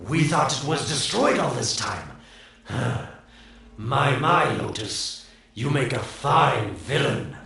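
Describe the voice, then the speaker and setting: An actor playing a sly, smug merchant villain in a video game, with a teasing, theatrical drawl. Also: male voice, medium pitch, expressive, slow, creaky, pushed and bright, exaggerated voice. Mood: awed. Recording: very echoey, distant, music behind.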